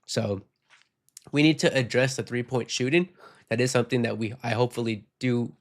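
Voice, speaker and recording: Male podcast host, sick and hoarse, casual and rambling, reacts frankly to fan comments. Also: mid-range voice, fairly quick. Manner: frank, rambling, reads viewer comments aloud. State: sick, losing his voice. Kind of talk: casual postgame chat reading comments.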